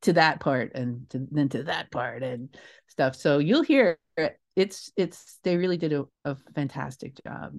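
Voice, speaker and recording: A middle-aged woman answering interview questions as a theater director, in an expressive, emphatic and reflective way. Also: sits low, a little slow. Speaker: guest, theater director and creator.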